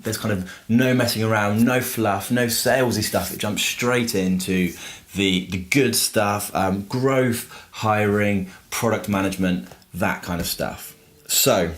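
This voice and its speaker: Man, lowish voice, informal explainer, reviewer style, everyday analogies. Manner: explanatory, uses everyday analogies and rhetorical questions. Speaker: book reviewer and software business owner. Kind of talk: informal book review to camera.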